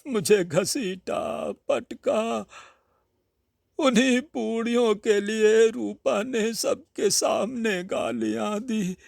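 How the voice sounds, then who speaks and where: A man's voice: sits high, vocal fry, a little mellow, dry recording, studio quality, pitched above the performer's own voice, exaggerated voice. A man voicing a hungry, self-pitying blind old aunt in a Hindi storybook tale, with a quavering, plaintive manner. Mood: plaintive, aggrieved. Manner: slow, plaintive lamenting.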